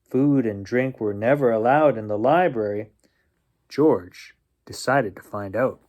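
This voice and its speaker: A man reading a children's picture book aloud as a bedtime storyteller, expressively and with drawn-out suspense. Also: medium pitch, a little mellow.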